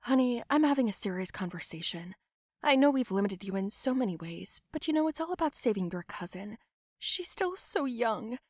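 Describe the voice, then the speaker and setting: A woman voicing a calm, pleading middle-aged mother in a narrated story. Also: fairly high pitch, normal speed, no room sound, studio quality.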